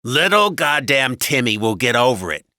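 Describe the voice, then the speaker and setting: A man voicing a gruff, domineering husband in an audiobook story, with a loud, belligerent, sneering manner. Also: medium pitch, very animated, moderate pace, no room sound, clean studio sound, voice pushed up, full character voice. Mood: dismissive. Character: gruff, crude, domineering cigar-smoking husband.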